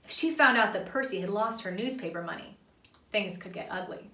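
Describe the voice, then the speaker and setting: A woman's voice: mid-range voice, fairly quick, bright, forceful tone. A woman in her twenties reading a children's story aloud in a small room, away from the microphone. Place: small indoor playroom. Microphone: camera a few feet away. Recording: roomy, distant camera microphone.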